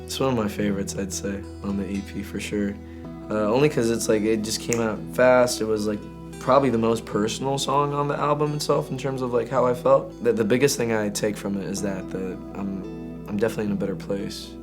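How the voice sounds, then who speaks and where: Low-voiced casual man over guitar music. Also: fairly even intonation. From Greece. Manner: informal, halting, slangy delivery. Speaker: indie singer-songwriter being interviewed. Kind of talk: casual, candid interview talk.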